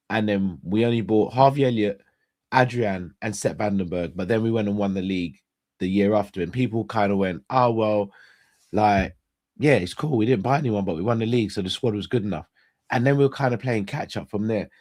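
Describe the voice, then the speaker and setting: A young man hosts a casual live stream. His somewhat low voice is hoarse and stuffed up. He chats straight to his viewers and often backs up to restart a phrase. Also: darkish tone. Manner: chatty, addresses viewers directly, restarts phrases. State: hoarse and congested, losing his voice. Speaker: host.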